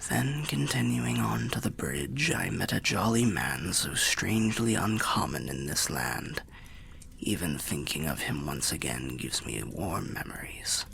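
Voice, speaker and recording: Guy doing a tired old undead storyteller, super deep crackly voice, whispery fantasy asmr, kinda wry and old-timey. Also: expressive, fairly bright tone, exaggerated voice. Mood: fond, hushed. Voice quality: breathy whispering, gravelly low voice. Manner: measured, archaic, wry storyteller. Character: weary old undead wanderer telling tales by the fire.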